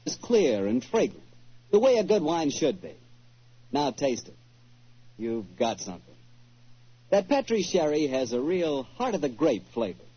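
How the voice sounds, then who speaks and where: A muffled vintage radio recording of an American male announcer with some music. His voice is husky and swings widely in pitch as he delivers polished, salesmanlike lines. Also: sing-song, unhurried, long pauses.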